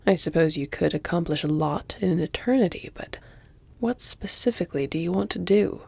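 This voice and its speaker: A woman voicing a flirtatious, predatory vampire lady in an intimate audio roleplay, teasing and haughty, often sinking into a breathy whisper. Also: fairly low pitch, lively intonation, brisk, dark tone, no room sound, exaggerated voice. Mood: amused, commanding.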